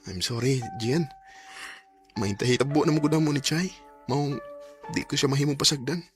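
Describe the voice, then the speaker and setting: An actor playing a remorseful, unfaithful young boyfriend in a Bisaya radio drama, with a Cebuano Filipino accent and a stammering, dramatic delivery. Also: male voice, medium pitch, moderate pace, music in the background, natural voice. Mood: flustered, apologetic.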